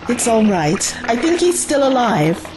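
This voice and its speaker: A woman's voice: on the high side, very animated, measured pace, very noisy. A woman acting an anxious, alert young adventurer's companion in an audio drama, urgent and exclamatory. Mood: wary.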